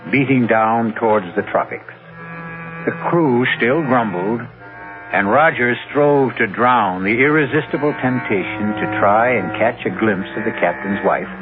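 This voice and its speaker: A man performing as an ominous storyteller host, giving measured, dramatic scripted radio narration. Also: on the low side. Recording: tinny old radio broadcast recording. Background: music.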